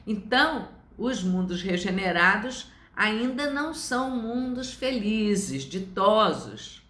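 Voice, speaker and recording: Slow-speaking older Brazilian woman, echoey room. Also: mid-range voice, expressive, a bit bright. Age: 70s. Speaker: spiritist study lecturer. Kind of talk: informal religious lecture to online viewers.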